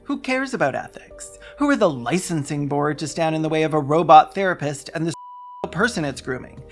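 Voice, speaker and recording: A video essayist narrates scripted commentary in a mid-range voice. The delivery is theatrical and sardonic, full of dry joking asides. Soft music plays underneath. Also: medium pitch, lively intonation, fairly quick.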